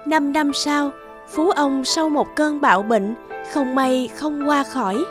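Female narrator for an animated fable: scripted storybook delivery, prominent music bed. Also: high-pitched, slow.

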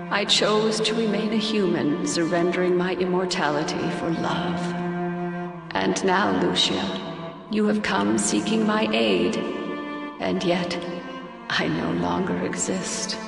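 Slow, echoing goddess spirit. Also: female voice, mid-range voice, vocal fry. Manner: slow, measured, solemn. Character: solemn former goddess, ghostly apparition of gentle wisdom. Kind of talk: scripted game cutscene acting. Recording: long cavernous reverb, music behind.

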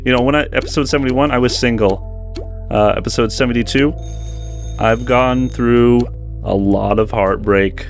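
A man's voice: mid-range voice, steady tone, normal speed, soft background music. A young man giving candid, rambling, self-deprecating commentary over old game footage, recorded at a desk mic in a small bedroom studio. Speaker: gaming channel host. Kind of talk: post-recorded gameplay commentary.

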